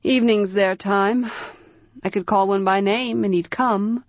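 A woman voicing a calm, wry male wizard. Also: medium pitch, unhurried, no echo, natural voice. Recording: dry, slightly dull audiobook recording.

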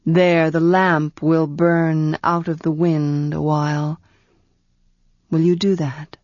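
A woman reading the part of a gentle, wise male wizard, who speaks in a quiet, soothing and measured way. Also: low-pitched, flat delivery, no echo, voice pushed down. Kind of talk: audiobook character reading. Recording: dull, muffled sound.

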